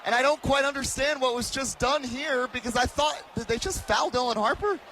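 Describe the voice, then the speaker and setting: A man in his twenties doing live radio play-by-play of basketball, with excitable, dramatic calls that rise into shouting. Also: extremely high pitch, a little fast, slight background noise, low-quality recording. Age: 20s. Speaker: play-by-play commentator. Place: basketball arena.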